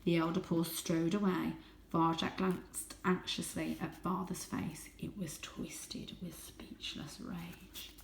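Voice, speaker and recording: A woman reading a children's story aloud as host, with expressive, dramatic and suspenseful narration. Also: fairly low pitch, slow pace, gravelly, a little hiss or hum. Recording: echoey room.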